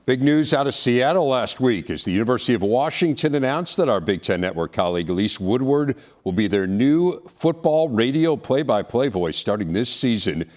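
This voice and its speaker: A man's voice: medium pitch, a little mellow. An American man presenting and interviewing as the host of a sports studio show.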